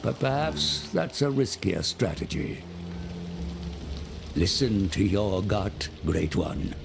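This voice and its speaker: An actor playing a servile, scheming advisor, giving a solemn, coaxing briefing in a game. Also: male voice, on the low side, sing-song, slow, creaky, background noise, heavily acted. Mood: coaxing counsel. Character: servile, scheming male advisor to an ogre lord.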